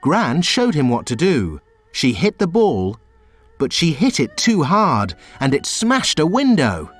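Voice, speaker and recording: A man reading a children's storybook aloud in a slow, very expressive storytelling way. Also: a little high. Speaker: storybook reader. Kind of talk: children's read-aloud narration.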